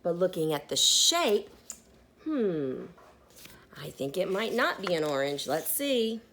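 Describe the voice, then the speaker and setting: A woman reading a children's book aloud like a teacher, deliberate and pausing so listeners can join in. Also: fairly low pitch, big pitch swings, a bit bright. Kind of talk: interactive children's read-aloud.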